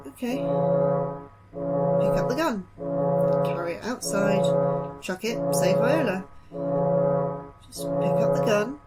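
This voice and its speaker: An actress playing an anxious science officer, halting and nervously self-coaching, in a sci-fi horror audio drama. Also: female voice, on the low side, sing-song, loud music behind the voice. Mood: panicky. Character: anxious, flustered young scientist steeling themselves for danger.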